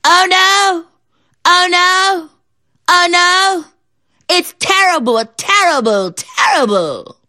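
A woman voicing a clever male rabbit trickster, high and exclaiming. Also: animated, slow, pushed and bright, dry recording, clean studio sound, full character voice. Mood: wailing.

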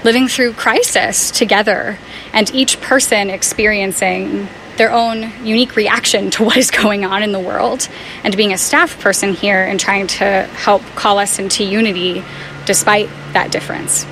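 A woman on staff at a faith community house, answering interview questions in an earnest, thoughtful way with soft laughs. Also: mid-range voice, fairly even intonation, normal speed, slight background noise, low-quality recording.

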